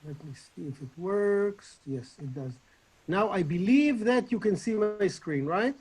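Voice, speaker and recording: High-voiced Israeli man, halting lecture. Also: expressive, darkish tone, husky, rough recording. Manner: halting, with many pauses and restarts. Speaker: online session presenter. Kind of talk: informal online lecture. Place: at home. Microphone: video call.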